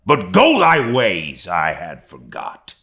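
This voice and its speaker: A man's voice: low-pitched, huge pitch swings, slow pace, vocal fry, fairly bright tone, heavily acted. A man voicing a scornful, wrathful old sea captain with a commanding, explosive manner and archaic speech, from a classic novel reading.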